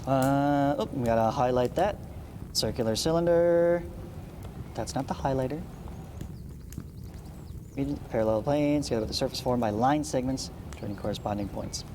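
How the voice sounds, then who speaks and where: Male instructor, medium pitch, explanatory read-along delivery with frequent "right?" style check-ins, slightly noisy recording. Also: fairly quick, dark tone. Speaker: math teacher. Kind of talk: read-along lesson with asides.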